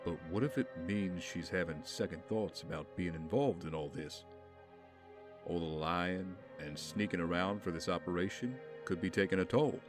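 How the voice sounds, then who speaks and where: A somber, troubled man, played by an actor in an audio drama. His voice is very deep and serious as a hero's confidant. Faint music runs underneath. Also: normal speed, close to an everyday voice. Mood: worried, hopeful.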